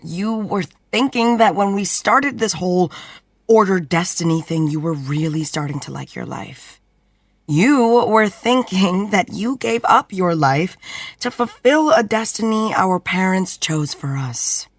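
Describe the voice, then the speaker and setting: A young woman acting in an audio drama as a protective twin sister, speaking earnestly and softly. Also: medium pitch, animated, unhurried, no room sound. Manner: earnest, soft-spoken, emotional. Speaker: twin sister of a vampire fighter.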